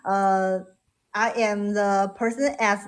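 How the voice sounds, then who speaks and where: A woman's voice: medium pitch, steady tone, slow pace. A woman from China with a Chinese accent, speaking English in a casual chat, hesitant with many fillers and restarts. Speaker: caller on a live English voice room.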